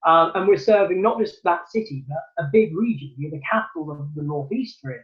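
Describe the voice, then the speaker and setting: A man's voice: fairly high pitch, animated, fairly quick, dull audio. A man from Newcastle giving thoughtful, earnest answers as a theatre producer in a remote interview, with many ums and restarts. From Newcastle, England.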